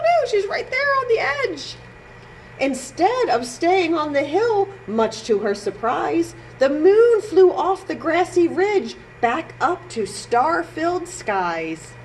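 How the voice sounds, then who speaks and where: A woman reading a picture book aloud to young children in a sing-song, emphatic way, pausing to comment as she goes. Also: extremely high pitch, slight background noise, poor audio. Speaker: children's librarian storytime reader.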